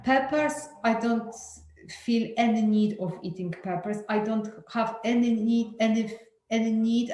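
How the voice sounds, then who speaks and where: A woman's voice: mid-range voice, a little mellow. A woman from Poland, speaking in a candid, hesitant way with frequent fillers and restarts, as a guest in a group talk over a video call. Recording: echoey room with faint ambient noise.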